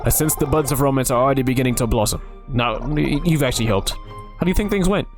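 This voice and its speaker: A man's voice: mid-range voice, quick pace, faint music behind, natural voice. A man doing a pushy little love spirit. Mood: coaxing. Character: meddling matchmaking spirit of love, cheery and pushy.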